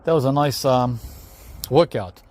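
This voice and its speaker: A man's voice: mid-range voice, unhurried, darkish tone. A man whose first language is Greek, hosting a gardening vlog outdoors, tired after digging, explaining each step and calling viewers guys. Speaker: gardening channel host. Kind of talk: casual vlog talk to camera.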